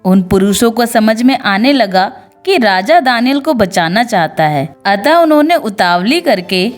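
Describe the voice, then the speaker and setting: High-voiced woman narrating devotional stories over music. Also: lively intonation, unhurried. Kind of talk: scripted devotional storytelling narration with moral lessons. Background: background music.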